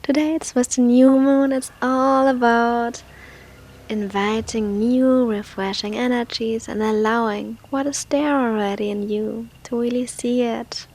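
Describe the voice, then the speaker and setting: A woman guiding a meditation in a soft, breathy, unhurried manner, with gentle instructions and long pauses. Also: fairly high pitch, steady tone. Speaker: meditation guide.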